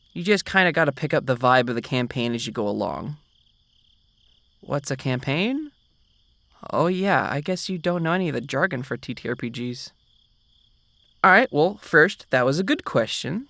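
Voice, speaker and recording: A young man in an ASMR roleplay as a boyfriend, speaking in a gentle, reassuring, soft-spoken way close to the microphone. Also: on the high side, no room sound.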